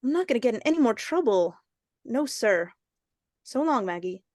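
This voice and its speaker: A woman's voice: a little high, very animated, moderate pace, darkish tone, dry recording, clean studio sound. A woman playing a reluctant, skittish young boy in an old-time radio suspense play. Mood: defiant, fed up.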